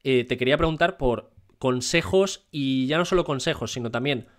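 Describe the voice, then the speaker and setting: A man hosts the interview. He builds his questions slowly, with many fillers and restarts. His voice has an ordinary middle pitch. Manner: hesitant, many fillers and rephrasings. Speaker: interviewer, exam candidate.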